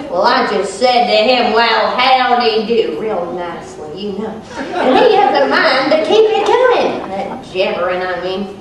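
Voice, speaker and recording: A woman's voice: fairly high pitch, big pitch swings, a little slow, a crowd in the background. Young woman acting sassy Southern homemaker Story. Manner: folksy, sassy, self-bragging storyteller. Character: feisty chatty Southern woman, proud homemaker. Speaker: student performer. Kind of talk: comic stage monologue. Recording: echoey hall from far off, noisy.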